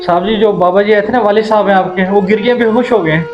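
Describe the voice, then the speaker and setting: A man's voice: sits high, lo-fi sound, music in the background, close to an everyday voice. A man acting as a polite, deferential household servant in a moral drama, anxious to keep his job. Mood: alarmed.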